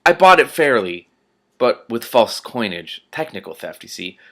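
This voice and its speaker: A man's voice: medium pitch, very animated, moderate pace, vocal fry, a bit bright. A man reading aloud as a nervous, cowardly male wizard, hesitant and protesting. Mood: indignant.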